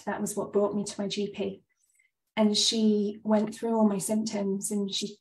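30s woman doctor talking on a video call, stuffy and a bit breathless, flat mellow voice, echoey far-off mic. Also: medium pitch. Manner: earnest, frequent ums. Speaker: GP giving a presentation. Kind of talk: webinar talk.

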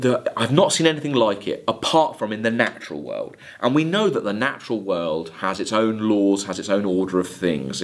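Low-pitched man giving a literature lecture. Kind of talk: video lecture with poem readings and commentary.

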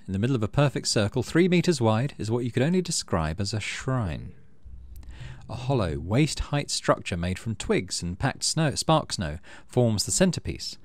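A man hosting a gaming channel, low-key, casually commenting on play and reading story text aloud. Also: no room sound.

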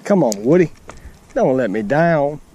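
A man's voice: fairly high pitch, expressive, measured pace, warm tone. A young man chatting casually and informally to viewers as he vlogs about fishing outdoors. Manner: informal, addresses viewers as guys and y'all. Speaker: fishing vlogger. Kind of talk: casual vlog chat to viewers. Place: outdoors beside a pond in open grassland. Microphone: action camera, handheld or body-mounted. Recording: faint outdoor ambient noise, action camera.

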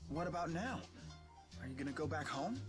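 A man's voice: on the high side, lively intonation, a little fast, music in the background, natural voice. An actor playing an earnest, curious young man in a video game. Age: young adult.

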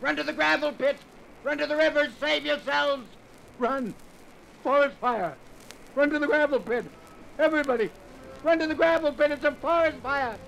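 An actor playing a frantic priest shouting an urgent warning in a 1950s radio drama. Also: male voice, very high-pitched, normal speed, creaky, pauses often, full character voice. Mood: frantic alarm. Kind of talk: 1950s radio docudrama acting. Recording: 1950s radio recording, noisy hiss.

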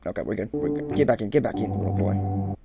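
A man's voice: lowish voice, fast, gravelly. A young man doing casual gameplay commentary, repeating short phrases to himself as he plays. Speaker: gaming video host. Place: small room at home, indoors. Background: game music.

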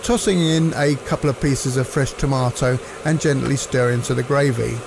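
A man, narrating cooking instructions in a measured, explanatory way. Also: mid-range voice, vocal fry, noisy background. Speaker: cooking presenter.

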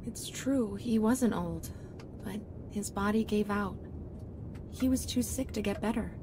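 A woman playing a gentle, patient mother in an audio drama, soothing and reassuring. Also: medium pitch, measured pace, background noise, lightly acted. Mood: gentle, consoling.

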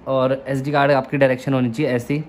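A young man from India vlogging casually at home, chatting excitedly as he unboxes a camera and explains each step. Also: medium pitch, fast talker, a little hiss or hum. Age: young adult. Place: room at home, indoors.

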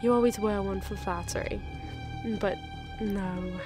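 A woman's voice: mid-range voice, fairly even intonation, a little slow, over music, natural voice. A woman playing a blunt, affectionate middle-aged companion in an audio drama. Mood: wry, firm. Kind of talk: full-cast audio drama acting.

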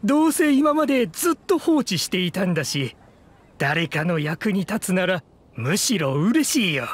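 A man's voice: high-pitched, sing-song, normal speed, slight background noise. A man playing a genial, businesslike landlord in a game, courteous and assured. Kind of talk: Japanese game voice acting. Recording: music behind the voice.

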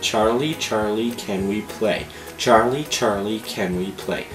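A man quietly repeating short phrases like a chant, in a roomy recording with music behind. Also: fairly low pitch, slow pace. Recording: roomy echo under music.

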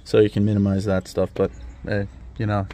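A man chatting casually outdoors, relaxed and matter-of-fact, explaining things as he goes. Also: on the low side, fairly quick. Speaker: vlogger. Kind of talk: casual chat. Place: outdoor parking lot by a vintage car.

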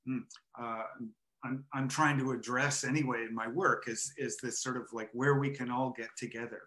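An older man from Montreal, a photographer and artist, talking in a hesitant, reflective way with many pauses and restarts, on a headset over a video call from home. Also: medium pitch, sharp tone. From Montreal, Canada. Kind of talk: casual interview conversation. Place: home room with framed photo, indoors.

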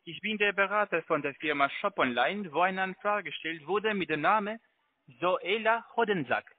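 A high-pitched man with non-native German makes a sales call over a tinny phone line. He is polite and effusive, following a loose script. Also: moderate pace, a little hiss or hum. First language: not German. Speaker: phone salesman, product adviser.

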